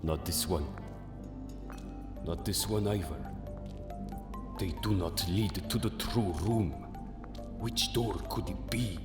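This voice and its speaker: A man playing a solemn, mysterious Egyptian mystic in an audio drama, speaking in a grave, portentous manner. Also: on the low side. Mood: wary, searching. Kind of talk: audio drama acting of a manga. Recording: echoey, long cavernous reverb.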